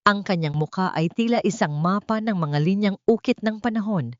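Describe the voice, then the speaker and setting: A woman narrating a dramatic audiobook story in a measured, solemn manner. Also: medium pitch, dry recording. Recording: dull, dry lo-fi recording.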